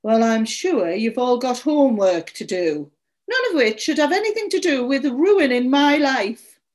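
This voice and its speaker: Woman acting a distraught, shrill, indignant dog owner. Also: sing-song, a little slow. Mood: angry, accusatory. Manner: shrill, accusatory, pleading. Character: distraught, indignant woman searching for her lost poodle.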